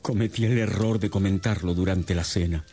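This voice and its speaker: An actor playing a distressed, respectable middle-aged father, an agitated and earnest gentleman in a radio drama. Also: low voice, steady tone, normal speed, husky. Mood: troubled, earnest. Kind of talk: Spanish-language radio drama acting. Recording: music under the voice.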